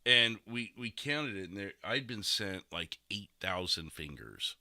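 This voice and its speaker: A man's voice: lowish voice, animated, measured pace, pushed and bright, no room sound, studio quality. A man hosting a stream, chatting casually and telling stories in a rambling, chuckling way. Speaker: host and streamer. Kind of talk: casual podcast chat over game roleplay.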